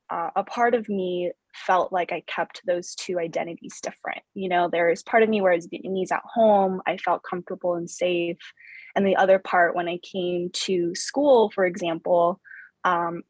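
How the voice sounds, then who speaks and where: Husky, reflective American woman in her 30s. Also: on the low side, no room sound. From Southern California. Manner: reflective, many fillers and restarts. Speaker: guest author. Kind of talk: conversational interview.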